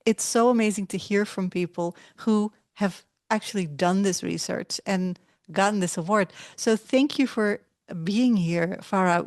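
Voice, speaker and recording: A woman's voice: mid-range voice, no echo, clean studio sound. A woman hosting an interview who introduces her guest and asks questions in a friendly, encouraging way. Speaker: interview host.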